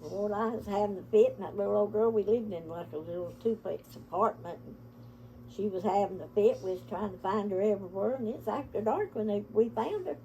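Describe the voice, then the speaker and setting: An older woman with an Appalachian accent reminisces like a family storyteller. Her voice is soft-edged and mellow, at an ordinary pitch for a woman. She rambles in a folksy, casual way, with a little noise in the background. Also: a little fast, highs cut off. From Appalachia, United States.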